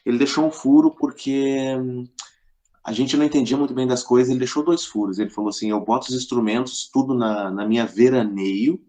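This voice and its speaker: A man's voice: medium pitch, fairly even intonation, brisk, mellow. A middle-aged man with a southern Brazilian accent from Rio Grande do Sul, casually telling anecdotes as a podcast guest in a colloquial way, acting out quoted dialogue.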